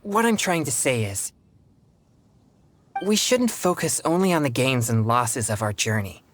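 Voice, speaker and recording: A man playing an earnest, polite teenage boy exorcist in a video game, speaking in a formal, measured way. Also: medium pitch, expressive, fairly bright tone, a little hiss or hum. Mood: sincere, grateful.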